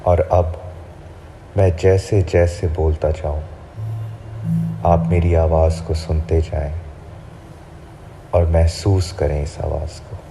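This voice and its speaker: A man with a very deep voice leads a sleep hypnosis, drawing out each phrase at a slow, soothing pace. Clear music plays behind him throughout. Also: poor audio. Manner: slow, soft, soothing guidance. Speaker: hypnotherapist guiding a sleep session.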